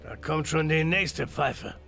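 Gravelly, hot-tempered brawler. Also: male voice, fairly high pitch, slow pace, sharp tone, full character voice. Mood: contemptuous. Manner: gruff, aggressive, foul-mouthed. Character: bitter, hot-tempered male brawler, betrayed and weary. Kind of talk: dramatic video game acting. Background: music.

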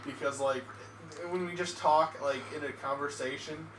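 A man's voice: a little high, fairly even intonation, normal speed, a bit bright. A young man co-hosting a game let's play, joking and rambling in casual chat. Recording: roomy echo, off-mic, faint hiss.

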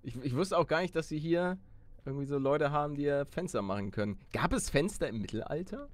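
A young man giving casual, excitable gaming commentary and joking as he chats. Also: fairly high pitch, fairly quick, no room sound. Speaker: let's player.

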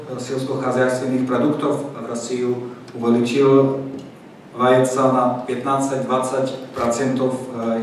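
Flat-voiced male official in a big hall. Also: medium pitch, a little hiss or hum. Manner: steady, flat delivery with many fillers. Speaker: embassy representative. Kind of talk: formal welcome speech. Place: large conference hall. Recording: echoey hall from far off.

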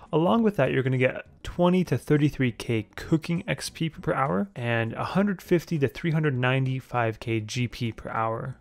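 Young guy talking thru a game guide, kinda gravelly, matter of fact, faint music under it. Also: medium pitch. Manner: plain, matter-of-fact, with filler uhs. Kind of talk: voice-over tutorial narration.

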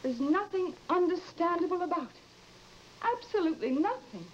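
A woman's voice: very high-pitched, lively intonation, moderate pace. A woman playing an anxious, suspicious young wife who is imperious with servants, in an old-time radio horror drama. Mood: agitated, troubled. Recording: dull old radio recording with hiss.

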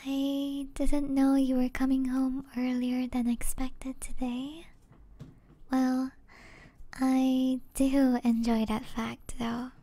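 Soft, breathy, high-voiced gamer girlfriend acted by a woman. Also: little pitch movement, slow pace. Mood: affectionate, relaxed. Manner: breathy, often half-whispered, hesitant, teasing. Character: young gamer girlfriend, affectionate, playfully flustered and teasing. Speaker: roleplay voice actor. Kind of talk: soft-spoken ASMR roleplay.

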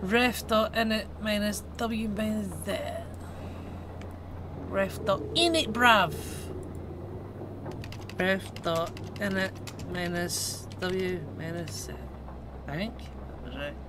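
Slow-talking woman streamer, swearing. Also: mid-range voice, noisy background. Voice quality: muttering, swearing, reads commands aloud. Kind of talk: live gameplay commentary.

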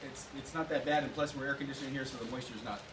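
A man's voice: medium pitch, steady tone, racing. A man who works as a mechanic, talking in short, joking remarks while he works. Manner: joking, laconic. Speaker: engine builder, mechanic. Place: indoor car restoration workshop. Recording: distant voice in noisy shop.

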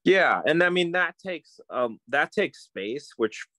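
A man's voice: a little high, animated, slow, pushed and bright, no echo. A middle-aged man talking casually on a phone video call from a room at home, thoughtful and meandering, with many fillers and restarts. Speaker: guest, permaculturist. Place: home room with bookshelves, indoors. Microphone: phone video call, close up.

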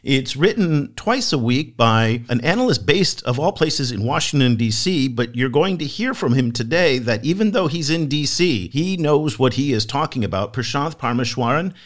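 A man's voice: mid-range voice, animated, normal speed, few pauses. Enthusiastic male podcast host. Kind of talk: scripted intro and interview questions.

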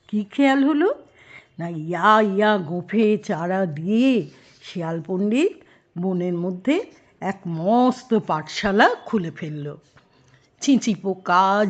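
Older female children's storyteller, grandmotherly, very unhurried, medium pitch, slightly dull recording. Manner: grandmotherly, explains words, questions listeners.

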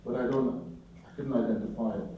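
A man's voice: mid-range voice, fairly even intonation, a little fast, distant mic, a little hiss or hum. A middle-aged man from Trinidad, a poet and novelist answering audience questions in a seminar room. He speaks into a handheld mic and talks in a reflective way, searching for words and often saying "you know". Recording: echoey room picked up from afar, slightly dull.

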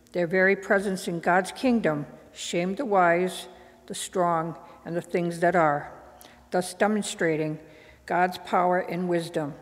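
A woman in her sixties, a lay minister, reading a sermon in a measured, deliberate way at a podium microphone in a church sanctuary. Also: on the low side. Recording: reverberant church hall.

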